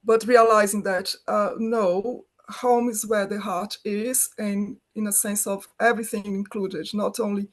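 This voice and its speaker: A woman's voice: mid-range voice, level tone, unhurried, few pauses. A middle-aged woman from Brazil, a native Portuguese speaker, talking as a filmmaker guest in an interview, often restarting her phrases as she searches for words. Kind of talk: conversational interview.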